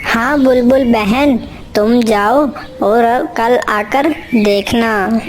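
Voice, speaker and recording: A sly, lazy male crow from a children's cartoon, acted by a woman, talks slowly in a highish voice. He explains things in a coaxing trickster's manner, with faint noise in the recording. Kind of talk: children's cartoon character dialogue.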